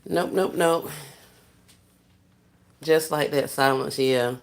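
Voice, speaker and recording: A soft-spoken woman, chatting casually with viewers on a livestream while she works on gift baskets. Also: low-pitched, takes it slowly. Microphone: phone set down while working.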